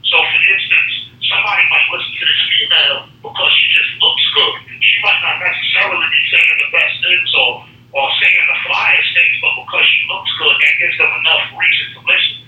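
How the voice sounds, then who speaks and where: A man's voice: high voice, a little fast, very echoey. A man calling in from prison over a phone line, talking in an emphatic, streetwise, rambling way. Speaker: podcast guest calling in. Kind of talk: casual phone conversation. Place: federal prison. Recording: muffled phone line from far off.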